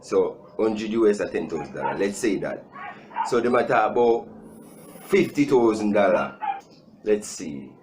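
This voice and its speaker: A young man with a Jamaican accent chatting casually as a video host, animated and joking, repeating himself for emphasis. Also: on the low side, measured pace, noisy background. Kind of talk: casual storytime chat. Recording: music playing behind the voice.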